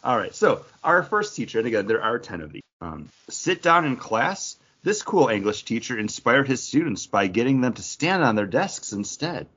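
A man's voice: medium pitch, big pitch swings, moderate pace, hardly any pauses, a bit bright, husky. A man hosting a casual trivia quiz, chatting and reading out questions on a lo-fi online call. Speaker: podcast host running a trivia quiz. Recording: dull lo-fi voice call.

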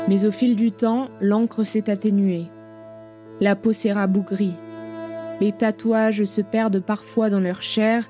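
A woman narrates history in a measured, informative way. Her voice is mellow and dark. Soft music plays faintly behind her. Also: mid-range voice. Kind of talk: scripted documentary narration.

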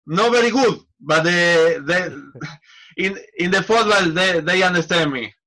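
A man, a football coach, talking in a casual interview in halting non-native English with many restarts. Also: high voice, pushed and bright, low-quality recording.

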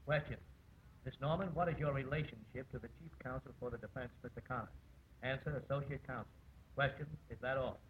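Actor as official court reporter, tinny radio. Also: male voice, mid-range voice, brisk, lightly acted. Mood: neutral. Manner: flat official read-out. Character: matter-of-fact male court reporter. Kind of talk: 1940s-50s radio drama acting. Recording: tinny old radio broadcast, faint noise.

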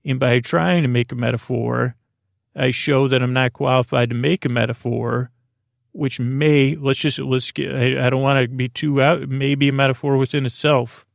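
A man hosting a bedtime podcast, rambling haltingly through whimsical, improvised asides and stumbling over his words in a creaky voice. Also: mid-range voice, steady tone, no echo, studio quality. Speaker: podcast host. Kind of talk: rambling improvised bedtime monologue to help listeners fall asleep.

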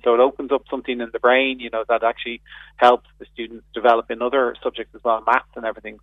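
An Irish man talking fast and earnestly down a tinny phone line, with a gravelly edge to his voice. The sound is dry and phone-like. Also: medium pitch, no echo. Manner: earnest, explanatory, many fillers and restarts. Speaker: radio guest, education advocate. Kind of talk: phone-in radio discussion.

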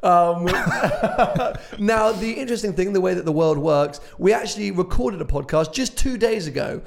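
Hoarse, gravelly man hosting a podcast. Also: high voice, moderate pace. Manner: chatty, self-mocking, laughs often. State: ill, hoarse. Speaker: podcast host. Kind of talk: casual podcast chat. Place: unfinished office showroom, indoors.

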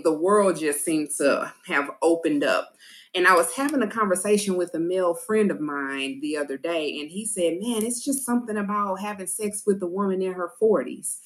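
A woman's voice: on the low side, moderate pace, a bit bright. A woman of about forty telling stories emphatically in a casual chat, voicing the quotes as she goes. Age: 40s. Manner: emphatic storytelling, acting out quotes.

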